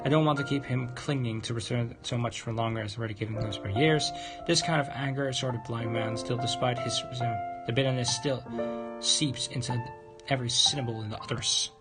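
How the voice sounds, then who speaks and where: A man livestreaming a visual novel, reading its text aloud in a halting, stumbling way and adding off-script comments. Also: medium pitch, steady tone. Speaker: game livestreamer. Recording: music behind the voice.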